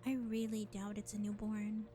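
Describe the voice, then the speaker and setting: A woman playing a sly, self-serving vampire bar owner in an audio roleplay, speaking in a sardonic, condescending drawl with deliberate pauses. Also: medium pitch, completely flat delivery, mellow, soft background music, close to an everyday voice. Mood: weary. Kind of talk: scripted audio roleplay acting addressed to listener.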